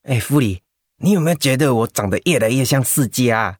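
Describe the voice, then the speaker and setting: A man's voice: medium pitch, expressive, a little fast, breathy edge, no echo, studio quality. A man playing a goofy, joking male coworker in a radio drama.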